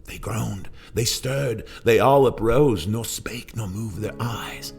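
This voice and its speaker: A man's voice: on the low side, slow pace, creaky, darkish tone, husky, voice pushed up, exaggerated voice. A man voicing a haunted old sailor in a solemn, theatrical recitation of narrative verse. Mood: haunted, intense. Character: haunted old male sailor recounting ghostly ordeal at sea. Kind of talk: dramatic poetry recitation. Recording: music under the voice. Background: plucked guitar music.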